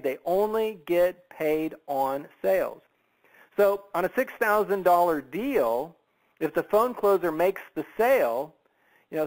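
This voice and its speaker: High-pitched man giving an emphatic sales pitch. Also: low-quality recording. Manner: persuasive, emphatic, conversational, with rhetorical questions. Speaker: sales presenter on a business opportunity conference call.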